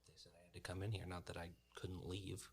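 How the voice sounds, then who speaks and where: A man's voice: low voice, flat delivery, brisk, vocal fry, husky. A man chatting casually as a player in an improvised tabletop game.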